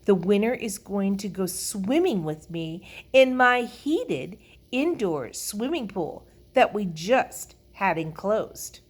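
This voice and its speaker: A woman acts out a bossy, vain little girl from a storybook. The voice is pushed up high and gushes with self-importance, landing hard on key words. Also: big pitch swings, slow pace, slightly rough, exaggerated voice. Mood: excited, showy. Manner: gushing, self-important, emphatic.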